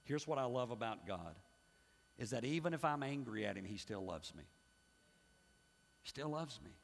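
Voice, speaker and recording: A middle-aged male pastor preaching a sermon, emphatic and swinging between loud pushes and hushed asides. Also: mid-range voice, big pitch swings, moderate pace, vocal fry. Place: church sanctuary. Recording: long hall reverb.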